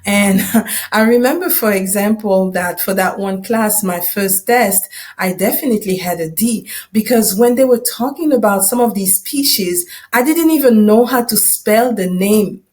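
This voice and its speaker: A woman's voice: medium pitch, moderate pace, a little mellow. Woman podcast host, conversational. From Democratic Republic of the Congo. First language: not English. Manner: conversational, checking in with "right?" and "you know". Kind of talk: personal testimony told to listeners.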